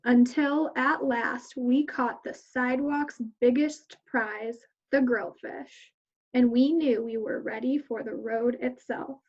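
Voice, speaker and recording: A woman's voice: on the high side, fairly even intonation, slow pace, lo-fi sound. A woman teacher reading a picture book aloud to children in an instructional way.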